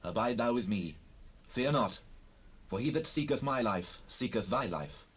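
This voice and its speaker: An actor playing a devout fugitive war leader in a dramatized Bible recording, solemn and earnest. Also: male voice, mid-range voice, animated, unhurried, husky. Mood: remorseful, protective.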